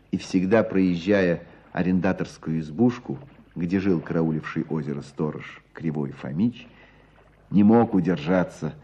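An actor playing an earnest, compassionate storyteller, narrating village life in a radio drama. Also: male voice, low-pitched, warm tone, breathy edge, heavily acted. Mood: storytelling. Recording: dull muffled radio play recording.

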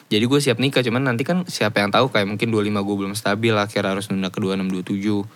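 A man's voice: on the low side, flat delivery, very fast, dry recording. A young man, hosting a casual podcast chat, slangy and swearing freely as he asks questions. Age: young adult.

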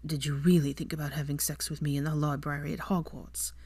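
A woman voicing a rough, teasing young man who talks in a low, husky, growling murmur. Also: flat delivery, brisk, a little mellow, no room sound. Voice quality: husky whisper.

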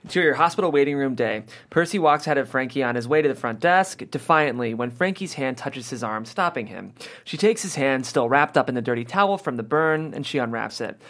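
A man's voice: mid-range voice, expressive, a little fast, a bit bright. A man narrating scene headings and stage directions at a script table read.

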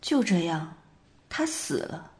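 A woman's voice: on the low side, big pitch swings. A woman in an amateur radio drama plays a haunted dreamer, telling her story in a grave, detached and dramatic way. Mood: numb, reflective. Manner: slow, hushed, dramatic delivery.

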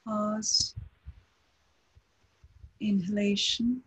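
A woman teaching a guided breathing meditation in a slow, calm, instructive way. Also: medium pitch, husky, low-quality recording.

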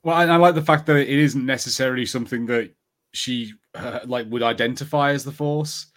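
A man co-hosting a podcast, explaining his thoughts in a measured, casual way. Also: mid-range voice, fairly bright tone. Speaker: podcast co-host. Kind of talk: casual review chat.

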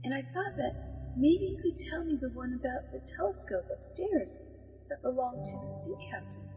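A woman's voice: high-pitched, expressive, normal speed, music in the background. A woman playing a polite, eager young visitor in an audio drama, speaking earnestly and dreamily. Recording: distant mic in reverberant hall.

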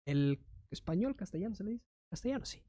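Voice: mid-range voice, big pitch swings, fast talker, warm tone, slight background noise. A young adult with a Mexican accent in casual, slangy chat, rambling with lots of fillers and restarts. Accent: Mexican Spanish.

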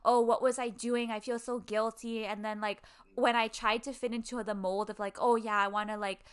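A woman in her twenties from Hawaii, telling a personal story in casual chat, full of "like" and animated asides. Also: a little high, normal speed, clean tone. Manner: chatty, heavy use of "like", animated storytelling. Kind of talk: casual conversation. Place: video call.

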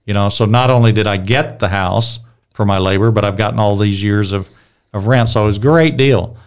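A middle-aged man with a strong, smooth, resonant voice leads a relaxed Bible discussion. His voice sits low, and he talks loosely, rambling and restarting his sentences as he goes. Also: a little fast. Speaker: Bible study leader.

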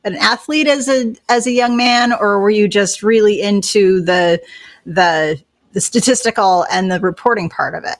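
A woman's voice: mid-range voice, normal speed. A woman hosting an interview podcast, asking questions in a friendly, chatty way with frequent "like" and "um". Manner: friendly, chatty, uses "like" and "um" often. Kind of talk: casual interview chat.

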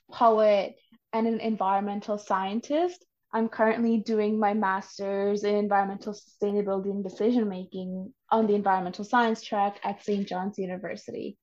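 A woman's voice: medium pitch, darkish tone. A young woman in her twenties from Bangladesh, a climate organizer explaining her views earnestly as a podcast guest. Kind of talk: podcast interview. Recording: dull lo-fi audio.

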